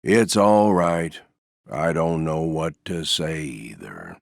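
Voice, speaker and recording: A man voicing a gloomy, resigned, self-effacing stuffed donkey for a video game, with a mournful, deadpan, sighing delivery. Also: extremely low pitch, slow pace, vocal fry, no echo, clean studio sound, heavily acted. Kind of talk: video game character voice lines.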